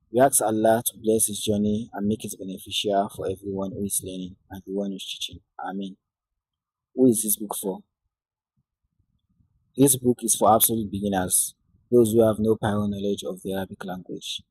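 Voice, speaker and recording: Low gravelly man teaching a lesson. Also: warm tone, no room sound, poor audio. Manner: measured, devout delivery with Islamic invocations. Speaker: Arabic teacher. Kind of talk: scripted lesson narration.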